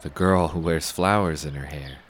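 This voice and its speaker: Deep-voiced, wry noir investigator. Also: male voice, normal speed, a little hiss or hum. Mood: low-key, guarded. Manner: terse, dry, wisecracking, casual slangy phrasing. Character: laconic, wry male WWI veteran turned noir investigator. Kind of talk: 1930s hardboiled noir audio drama acting.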